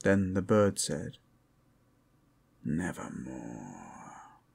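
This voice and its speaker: A man narrating a gothic poem in a quiet, dramatic reading, measured, brooding and theatrical. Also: fairly low pitch, breathy edge. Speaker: narrator, reader.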